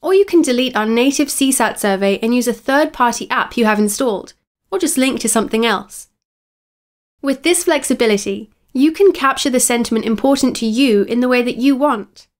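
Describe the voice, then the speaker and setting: A woman with a slightly high voice narrates a scripted software lesson. She explains each step clearly, as a tutorial presenter would. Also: expressive, fairly quick.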